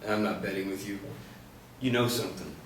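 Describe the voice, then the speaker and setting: A man's voice: on the low side, fairly even intonation, a little fast, close to an everyday voice. An actor reading a laconic, skeptical young policeman in a screenplay table read, terse and understated. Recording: echoey, distant mic, faint noise.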